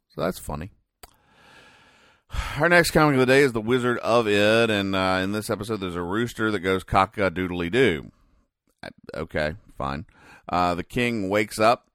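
A man hosting a podcast, recapping and explaining in a casual, deadpan, mock-serious way with halting, hesitant delivery. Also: on the low side, no room sound, clean studio sound. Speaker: podcast host. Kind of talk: casual comic-strip recap commentary.

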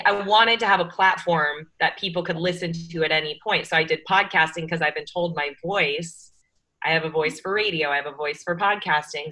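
A woman's voice: on the low side, bright, forceful tone. A woman guest on a podcast, an energy coach who runs her own podcast, chatting casually and openly over a video call. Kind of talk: casual interview chat.

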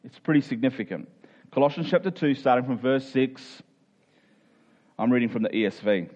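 Higher-voiced man preaching, dull recording. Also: darkish tone. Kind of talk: conversational sermon with everyday illustrations, prayer and scripture reading.

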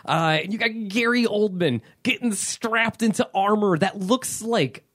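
A man's voice: high voice, a little slow, a bit bright. A man co-hosting a podcast, recapping a film in loose casual chat, rambling with fillers and laughs. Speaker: podcast co-host.

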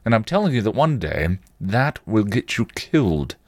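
A man's voice: on the low side, big pitch swings, normal speed, darkish tone, dry recording, pitched above the performer's own voice. A man voicing a cocky male vampire, taunting and insistent, in a story reading. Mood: intense. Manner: provocative, insistent, goading.

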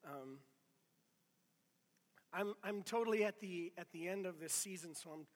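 Hoarse middle-aged pastor, chatty and rambling, high-pitched, big hall echo. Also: male voice, unhurried. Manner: conversational, self-deprecating, many restarts. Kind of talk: casual sermon.

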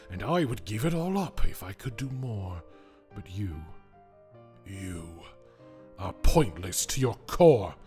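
A man voicing a gruff, blunt male hero who scolds a ghost. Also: on the low side.

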